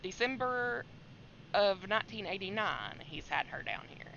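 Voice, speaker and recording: Bright, creaky-voiced woman streaming games. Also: medium pitch, big pitch swings, measured pace. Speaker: game streamer. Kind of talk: casual live horror game commentary. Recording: slightly dull audio, faint noise.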